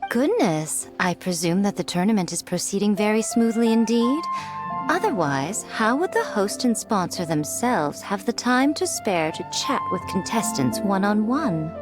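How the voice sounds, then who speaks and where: A woman plays a poised, shrewd noblewoman from a video game. Her mid-pitched voice is elegant and formal, with wry teasing in the delivery. Soft music sits behind it. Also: very animated, moderate pace, lightly acted. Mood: sly.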